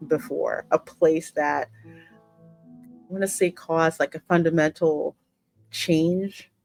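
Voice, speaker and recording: A woman gaming co-host explaining her thoughts in a measured, conversational way during a casual chat about a game. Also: low-pitched, steady tone, vocal fry, darkish tone, soft background music.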